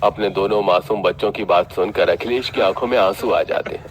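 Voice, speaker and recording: A man's voice: lowish voice, brisk. A man narrating a scripted children's story as the storyteller.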